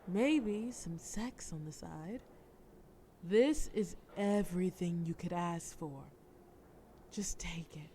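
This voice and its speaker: A woman's voice: lowish voice, expressive, slow pace, warm tone, slight background noise. A woman playing a cunning, flirtatious mafia boss in a scripted audio roleplay, sultry, persuasive and teasing, with cool threat. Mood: coaxing, menacing.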